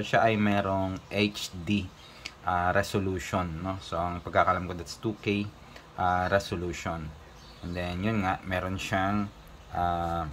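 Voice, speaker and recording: A Filipino man hosting a casual unboxing vlog, mixing Tagalog and English in a halting, hesitant way. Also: deep voice, a bit bright, slight background noise. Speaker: motovlogger host.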